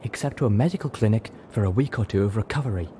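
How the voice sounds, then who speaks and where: A man's voice: fairly low pitch, quick pace, dark tone, a little hiss or hum, close to an everyday voice. A man voicing a caring, fussy female pony medic with a refined manner. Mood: chiding.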